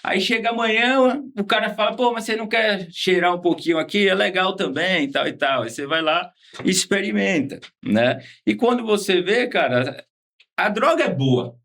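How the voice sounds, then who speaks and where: A man from Salvador with a Bahian accent, talking casually as an interview guest, relaxed and slangy, often ending with tag questions. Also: sits high, normal speed. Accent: Bahian Portuguese. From Salvador, Bahia, Brazil. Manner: laid-back slangy talk with frequent tag questions. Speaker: guest, former patient.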